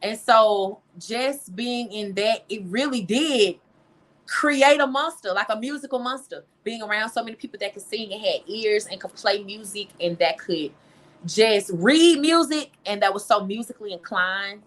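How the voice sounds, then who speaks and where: A woman's voice: medium pitch, normal speed, fairly bright tone. A woman who is a singer, speaking informally and expressively as an interview guest, often repeating phrases for emphasis. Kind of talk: candid, conversational interview.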